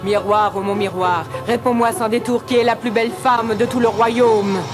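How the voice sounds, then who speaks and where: Woman doing an evil vain queen, menacing, kinda high for a villain. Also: steady tone, moderate pace, close to an everyday voice. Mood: imperious. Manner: menacing, hissing threats. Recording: cartoon soundtrack with loud music.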